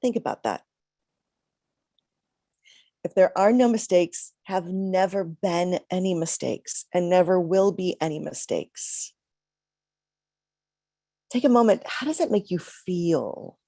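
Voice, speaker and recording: A middle-aged woman minister giving a reflective, conversational sermon with pauses, a little congested from a cold. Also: lowish voice, expressive, mellow, dry recording, studio quality.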